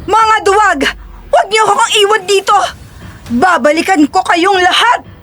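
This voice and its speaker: Audio drama villainess, female actor: treacherous bandit chief, high voice, contemptuous and bossy. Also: normal speed, fairly bright tone, soft background music. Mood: furious, barking. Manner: imperious, contemptuous. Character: ruthless, scornful female bandit leader, treacherous and imperious.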